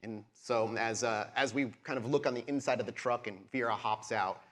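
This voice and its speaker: A man's voice: medium pitch, steady tone, moderate pace, a bit bright. Man narrating as game master. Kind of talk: narrating a live tabletop game. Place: live convention show on stage.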